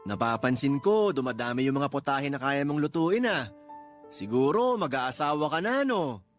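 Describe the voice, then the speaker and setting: Actor as gentle middle-aged working father, medium pitch. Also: very animated, moderate pace, faint music behind, close to an everyday voice. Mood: teasing. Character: supportive, teasing aging father, a construction worker. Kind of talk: Tagalog radio drama acting.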